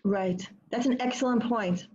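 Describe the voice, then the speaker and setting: A woman's voice: a little high, moderate pace, a little mellow. Earnest woman teaching over a video call. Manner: earnest, repeats phrases while thinking aloud. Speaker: teacher leading an online study session. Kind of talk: online class discussion. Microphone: laptop microphone on a video call. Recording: dull lo-fi video call audio.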